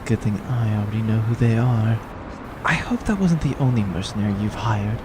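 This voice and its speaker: A man playing a dry, unflappable space pirate's henchman, deadpan and measured, in a comic sci-fi audio drama. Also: fairly low pitch, noisy background, lightly acted. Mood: calm, wry.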